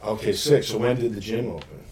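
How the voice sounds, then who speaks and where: Casual low-voiced podcast host in an echoey room. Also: male voice, brisk, far from the mic. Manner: relaxed, slangy, affirming. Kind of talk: casual interview. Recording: echoey room, voice a bit off-mic.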